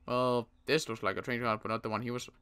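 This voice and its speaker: A man playing a wary adult survivor in an improvised game roleplay, talking in a wry, halting way with frequent restarts. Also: medium pitch, flat delivery, bright, forceful tone, smooth. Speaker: roleplay player.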